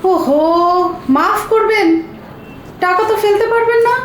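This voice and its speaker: Actress as impatient female bank teller, extremely high scolding voice, roomy sound. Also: a little hiss or hum. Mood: exasperated. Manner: brisk, scolding, exasperated. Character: brusque impatient woman bank teller, easily exasperated. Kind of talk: audio comedy drama acting. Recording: roomy echo, faint music.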